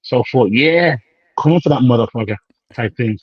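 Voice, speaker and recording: Slangy British-raised bodybuilder, dry lo-fi. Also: male voice, mid-range voice, expressive, a little fast, breathy edge, dry recording. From United Kingdom. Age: 30s. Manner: rambling, slangy, acts out quoted dialogue. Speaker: professional bodybuilder guest. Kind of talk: casual interview chat.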